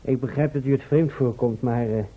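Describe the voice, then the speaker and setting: A man's voice: mid-range voice, steady tone, brisk, lightly acted. A man playing a courteous, matter-of-fact time traveller from the future in a radio play. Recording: dull old radio recording.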